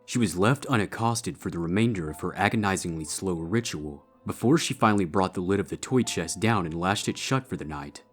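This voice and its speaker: A man narrates a scripted story in a very low voice with a husky, rough edge. Music plays clearly underneath his reading. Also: expressive, brisk, few pauses. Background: background music.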